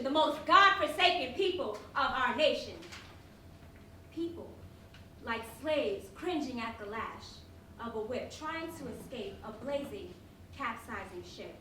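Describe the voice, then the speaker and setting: Woman acting a despairing compassionate roman onlooker, high forceful voice, slow theatrical monologue, roomy hall. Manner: drawn-out, emotional, theatrical delivery. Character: anguished, compassionate Roman witness despairing of injustice. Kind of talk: dramatic monologue in staged reading. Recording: echoey hall, distant mic, faint noise.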